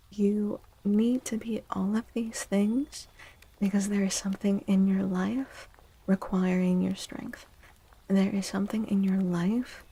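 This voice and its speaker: A woman hosting a soft-spoken ASMR tarot stream, talking gently and breathily, often near a whisper, with drawn-out delivery. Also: mid-range voice, husky, low-quality recording. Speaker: livestream host giving tarot readings.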